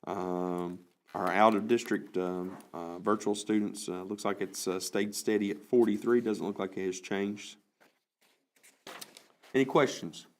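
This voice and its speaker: A man presenting enrollment and budget figures at a school board meeting, halting with many fillers. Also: lowish voice, fairly even intonation.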